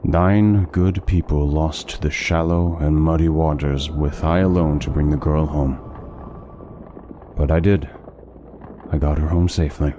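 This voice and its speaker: A man playing a courteous, learned, melancholy traveling scholar in a fantasy audio drama, speaking in measured, formal phrases with music behind him. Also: extremely low pitch, steady tone, dark tone, slight background noise. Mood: somber, wistful. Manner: measured, formal, articulate storytelling.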